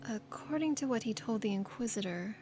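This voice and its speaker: A woman voicing a calculating female spy, speaking in a measured, firm way. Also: on the high side, expressive, darkish tone, slight background noise, natural voice.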